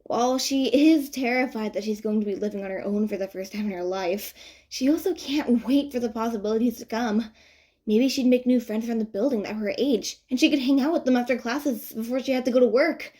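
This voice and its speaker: A woman reading fan fiction as an audiobook narrator, expressive and conversational with playful emphasis. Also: fairly high pitch, a little fast. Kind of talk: expressive scripted story narration.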